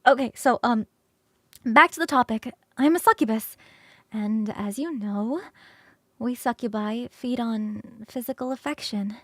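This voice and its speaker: Woman doing a bubbly succubus roommate for asmr roleplay, high girly voice, really dry close sound, rambly and teasing. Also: a little slow, no echo. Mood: coaxing, sheepish.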